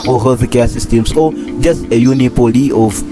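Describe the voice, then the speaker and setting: A husky young man in his twenties talks in a loose, rambling way and leans on the same phrases again and again. His pitch sits in an ordinary middle range. Music plays clearly underneath him. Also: moderate pace. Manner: rambling, repeats phrases, many fillers. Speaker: doctor in training, channel host. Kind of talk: casual vlog commentary.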